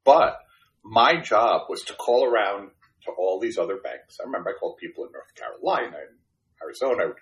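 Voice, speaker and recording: A man's voice: fairly low pitch, expressive, fairly quick, muffled recording. A man in his fifties from Brooklyn, New York, whose first language was Spanish, telling his life story in a casual, self-deprecating interview. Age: 50s. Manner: self-deprecating, chatty, restarts and fillers. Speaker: podcast guest.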